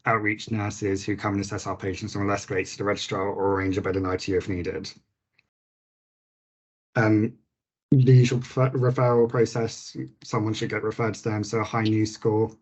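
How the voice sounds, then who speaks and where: A man giving an informal clinical teaching session to nurses, his voice slightly croaky, with frequent fillers and restarts. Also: fairly low pitch.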